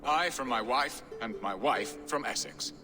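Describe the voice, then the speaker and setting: An actor playing a jovial, middle-aged English lord in a video game, with florid, theatrical wordplay. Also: male voice, medium pitch, unhurried, lightly acted. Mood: wry. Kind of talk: dramatic video game acting. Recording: long hall reverb, music underneath.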